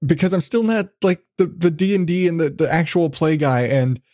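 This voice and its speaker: A man's voice: fairly high pitch, moderate pace, darkish tone, dry recording, clean studio sound. A man hosting a casual podcast interview, talking in a rambling, self-deprecating way with casual swearing. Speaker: podcast host.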